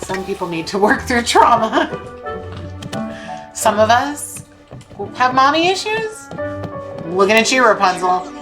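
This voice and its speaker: A woman's voice: on the high side, big pitch swings, a little slow, long pauses. A woman in her thirties chatting casually while playing a video game, gushing and joking with her viewers. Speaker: streamer. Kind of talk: casual gameplay chat. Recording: game music playing behind.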